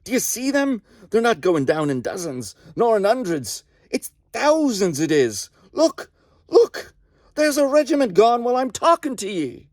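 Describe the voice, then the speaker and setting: A man voicing an astonished British soldier who bellows excitedly in a trench. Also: very high-pitched, measured pace, dry recording, studio quality, pitched above the performer's own voice, full character voice. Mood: astonished excitement.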